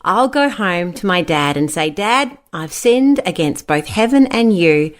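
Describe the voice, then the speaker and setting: A woman's voice: fairly low pitch, expressive, unhurried. A woman narrating a scripted children's Bible story and acting out the quoted speech. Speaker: storyteller, a mother.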